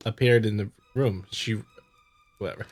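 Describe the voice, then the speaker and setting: A man hosting a visual novel playthrough, reading narration and commenting casually in a halting, stumbling way. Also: on the low side. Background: music.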